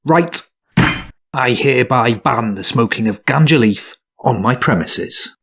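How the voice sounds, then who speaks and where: A man's voice: mid-range voice, animated, measured pace, a bit bright, slightly rough, full character voice. A man playing a fussy, prim young student in an audio drama, pompous and reproachful with formal wording. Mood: officious. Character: fussy, stingy, hypochondriac young male student, prim and indignant.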